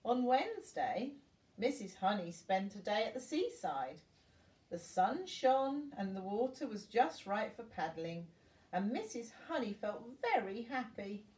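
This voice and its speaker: Slow, expressive older woman reading in echoey room. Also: mid-range voice. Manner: expressive, with questions and asides to listening children. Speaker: grandmother reading a bedtime story. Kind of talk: storybook reading aloud to young children. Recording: roomy echo, distant from the mic.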